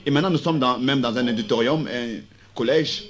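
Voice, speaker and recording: A hoarse man preaching a sermon in a school auditorium, speaking with a slow, halting delivery and frequent restarts. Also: mid-range voice.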